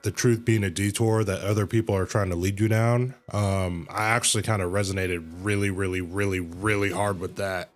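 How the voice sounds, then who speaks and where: A man livestreaming a game, reacting casually in a slangy, hesitant way with emphatic repetition. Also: fairly low pitch. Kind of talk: casual live reaction commentary, sometimes reading game lines aloud.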